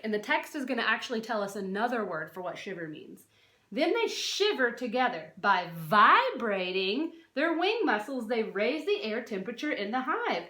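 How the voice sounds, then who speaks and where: A woman's voice: fairly high pitch, bright, forceful tone. A woman reading a non-fiction children's book aloud like a teacher, expressively, stressing key words and adding short explanatory asides. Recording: roomy, echoey sound.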